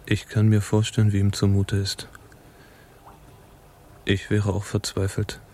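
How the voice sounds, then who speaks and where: Slow, low, anguished idealist man, acted out. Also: completely flat delivery, warm tone, lightly acted. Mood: sorrowful. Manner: slow, earnest, reflective. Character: idealistic, anguished male revolutionary steeling himself to kill. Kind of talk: radio play acting.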